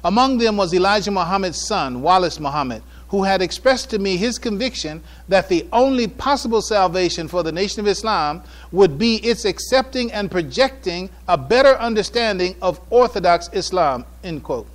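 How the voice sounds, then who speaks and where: A man with an American accent speaks in a notably high register. He delivers a prepared lecture in formal, deliberate oratory, leaning firmly on key phrases. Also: expressive. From United States. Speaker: speaker giving a public address. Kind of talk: prepared religious and historical lecture read from notes.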